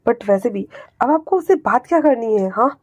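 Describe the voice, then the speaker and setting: A woman's voice: on the high side, expressive, moderate pace, a little mellow, no room sound. A woman playing a loyal assistant who speaks politely and reassuringly to his boss. Her everyday voice, close and dry. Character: loyal male assistant, deferential.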